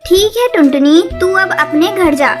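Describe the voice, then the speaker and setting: A woman's voice: extremely high pitch, expressive, unhurried, over music. A woman voicing a caring, agreeable mother bird in a children's cartoon, motherly and indulgent. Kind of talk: Hindi children's cartoon voice acting.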